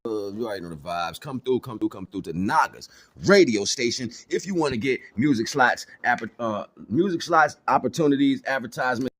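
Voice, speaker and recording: A man talking casually and slangily as a radio host and promoter, hyping things up with repeated catchphrases. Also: mid-range voice. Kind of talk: casual hype promo talk. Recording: clear background noise.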